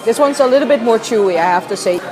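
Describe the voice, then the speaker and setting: A young woman reviewing food on camera for a vlog, expressive as she tastes and talks. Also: fairly high pitch, fast, poor audio. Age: young adult. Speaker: food and travel vlogger. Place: busy restaurant dining room. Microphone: clip-on lapel mic. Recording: restaurant crowd chatter behind.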